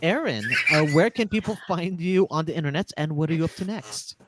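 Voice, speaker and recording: A man's voice: a little high, animated, brisk, slight background noise. A man casually moderating a panel as its host, close on a studio mic.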